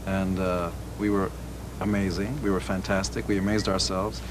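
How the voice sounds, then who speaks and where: A man being interviewed as a musician, delivering jokes deadpan. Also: low-pitched, moderate pace, noisy background. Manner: deadpan joking.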